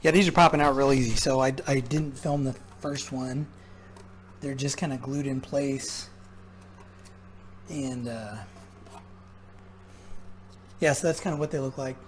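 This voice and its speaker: A man talking casually and haltingly as he thinks aloud, giving hands-on commentary as a repair vlogger. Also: medium pitch, a little hiss or hum. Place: indoor repair workshop.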